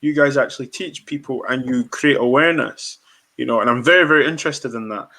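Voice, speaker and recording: A man's voice: mid-range voice, fast talker, sharp tone. A man hosting a podcast, interviewing guests in a direct way with lots of fillers and repeated words. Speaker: podcast host.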